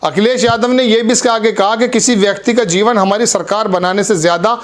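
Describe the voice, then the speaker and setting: A man's voice: sits high, fairly even intonation, brisk. A man hosting an online news video, talking earnestly and correcting himself as he goes. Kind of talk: news commentary to camera.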